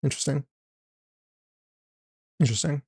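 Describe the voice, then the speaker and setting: A man streaming a live reaction to a game trailer, excited and chatty with many restarts. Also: on the high side, normal speed, husky, no echo, studio quality.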